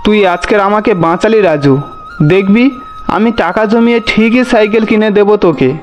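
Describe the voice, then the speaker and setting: A man's voice: high-pitched, moderate pace, faint music behind, lightly acted. A man voicing a poor, worried, forgiving village father in a cartoon, with a mild, resigned way of talking. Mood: grateful. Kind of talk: Bengali cartoon voice acting.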